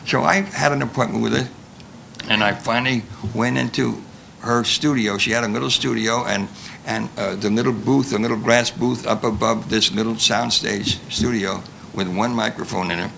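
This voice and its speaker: A man's voice: mid-range voice, steady tone, moderate pace, creaky, sharp tone, low-quality recording. An older man, a veteran performer, telling rambling anecdotes to an audience with repeated phrases. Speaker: veteran radio actor sharing memories. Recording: live panel with faint noise.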